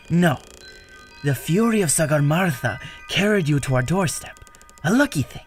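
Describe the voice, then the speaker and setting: A man playing a serene, kindly monk in an adventure audio drama, speaking gently and formally with measured phrasing. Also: fairly high pitch, lively intonation, faint music behind.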